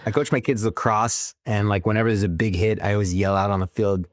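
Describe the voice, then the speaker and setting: A man's voice: on the low side, fairly even intonation, a little fast, no echo, studio quality. A man in his thirties joking around in casual podcast chat. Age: 30s.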